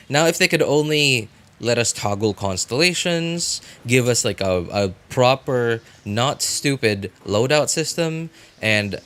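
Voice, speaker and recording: A man giving casual live game commentary as a streamer, rambling and halting, often breaking off mid-thought to react. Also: medium pitch, fairly bright tone.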